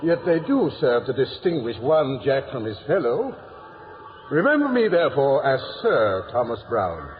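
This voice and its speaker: A man's voice: mid-range voice, big pitch swings, moderate pace, close to an everyday voice. An actor playing a courtly, learned old coachman in a 1950s radio drama, with an ornate, pompous yet kindly manner. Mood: grand, lofty. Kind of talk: 1950s theatrical radio acting. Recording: tinny, noisy, reverberant old radio recording.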